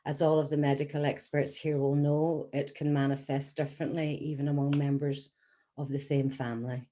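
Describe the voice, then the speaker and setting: An Irish woman in her sixties, a patient advocate telling her personal story to an audience in an earnest, measured way with frequent ums. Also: low voice, flat delivery, dark tone. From Scotland, then Ireland. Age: 60s. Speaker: patient advocate giving a talk.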